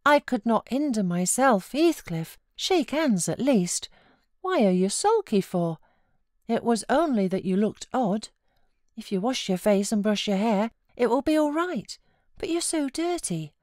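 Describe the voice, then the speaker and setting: A British woman voicing a lively, haughty, teasing young girl in a storybook reading. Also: fairly high pitch, a little slow, dry recording, clean studio sound, voice pushed up. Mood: pleading, chiding.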